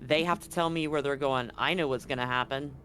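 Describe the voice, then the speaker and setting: A man's voice: mid-range voice, steady tone, fairly quick, a bit bright, a little hiss or hum. A man running a tabletop game, explaining scenes informally and directly to his players. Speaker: game master. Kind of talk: improvised tabletop game narration.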